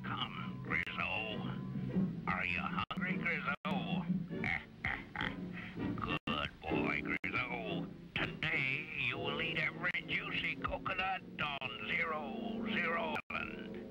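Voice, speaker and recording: Cruel cartoon villain scientist, slow coaxing delivery with big pitch swings, old soundtrack with music. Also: male voice, animated, heavily acted, low-quality recording. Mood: sinister coaxing. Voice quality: gravelly. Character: wicked male mad doctor villain, gloating and cruel.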